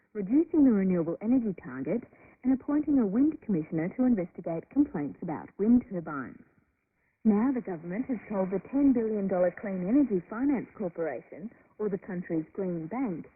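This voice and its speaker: A woman reading a scripted news report as a radio reporter. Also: mid-range voice. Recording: noisy narrowband shortwave radio broadcast.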